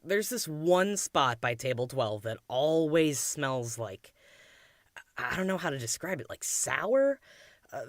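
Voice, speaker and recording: A woman's voice: fairly low pitch, no echo, studio quality. A woman casually telling a personal story in an irreverent, slangy and dramatic way. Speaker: restaurant worker telling a personal ghost story.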